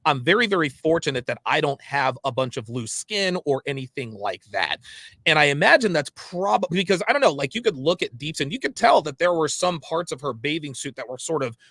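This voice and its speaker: An animated man hosts a livestream talk show in a fairly high voice that swings widely in pitch. He is opinionated and emphatic, circling back on phrases to drive them home as he puts things to his guest. The sound is close and dry. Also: a little fast, dry recording. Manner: emphatic, repeats phrases for stress. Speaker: host of a livestream interview show.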